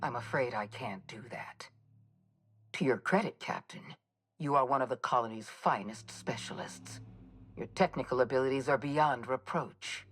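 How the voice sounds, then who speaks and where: An actress playing a stern, ruthless military commander in a video game, speaking in a formal, measured, menacing way with a husky voice. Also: female voice, very deep voice, a little hiss or hum. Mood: stern, resolute. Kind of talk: video game villain monologue.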